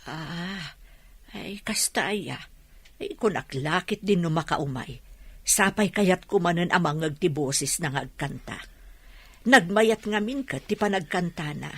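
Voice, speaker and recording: A woman's voice: fairly low pitch, animated, measured pace, breathy edge, no echo, full character voice. An actress playing a curious, caring older mother figure in an Ilocano radio drama. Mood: curious, fond.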